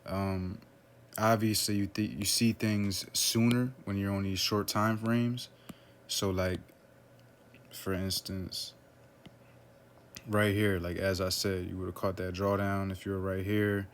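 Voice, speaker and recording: A man casually talking through a trading chart on screen, relaxed and loose, with lots of "um" and "right?" fillers. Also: takes it slowly, a little hiss or hum. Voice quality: low, flat voice. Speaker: forex trader analyzing a chart.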